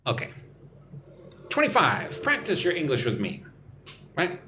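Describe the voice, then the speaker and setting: A young man from Texas runs a live-stream English class in a chatty, joking tone. His pitch moves around a lot as he reads viewers' comments and answers them. A faint hum of room ambience sits under his voice. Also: huge pitch swings, measured pace, sharp tone. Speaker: English teacher and livestream host. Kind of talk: live-stream English lesson, reading chat. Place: home room in Vietnam.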